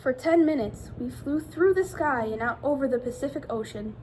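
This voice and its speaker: Higher-pitched woman reading to children, noisy recording. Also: moderate pace, a little mellow. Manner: addresses young children directly with questions. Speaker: teacher reading a picture book aloud to children. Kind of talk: expressive children's read-aloud.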